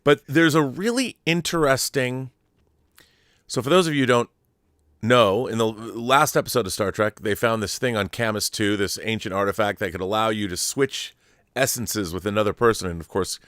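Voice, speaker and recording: A man's voice: lowish voice, normal speed, fairly bright tone, dry recording, studio quality. A man hosting a pop culture show with a grandiose, playful, theatrical manner. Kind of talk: showy scripted-sounding intro and casual fan discussion.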